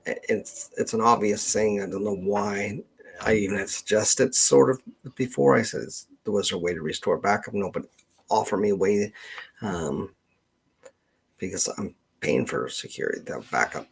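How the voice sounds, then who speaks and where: A man hosting an unscripted livestream Q&A, speaking haltingly as he thinks aloud. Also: on the low side, vocal fry, husky. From Canada. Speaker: genealogist hosting a live Q&A.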